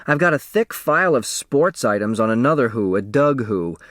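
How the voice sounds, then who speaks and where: Male reader as newspaper researcher, brisk, informal, animated intonation, dry close sound, audiobook character. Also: mid-range voice, dry recording, lightly acted. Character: brisk, businesslike newspaper researcher man on telephone.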